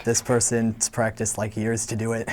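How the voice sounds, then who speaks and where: A teenage male student actor answering interview questions in a measured, explanatory way. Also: on the low side, monotone, over a noisy crowd.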